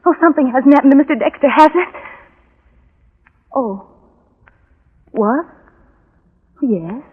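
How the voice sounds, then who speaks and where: A woman's voice: high-pitched, animated, takes it slowly. An actress playing an obsessive, bitter, lovelorn woman in a 1940s radio drama, speaking with fervent, brooding intensity. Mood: anxious, polite. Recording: dull vintage 1940s radio recording.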